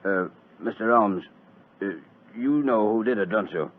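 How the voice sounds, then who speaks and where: A man acting a blustering, gruff police sergeant in a 1940s radio drama, blunt in his way of talking. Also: lowish voice, unhurried. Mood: humble, puzzled. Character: gruff middle-aged police sergeant, blustering, grudgingly respectful. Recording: muffled vintage radio recording.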